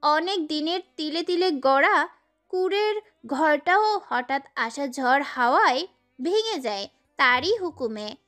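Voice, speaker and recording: A woman narrating a dramatic first-person story as a schoolgirl heroine, in an expressive way. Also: sits high, slow pace.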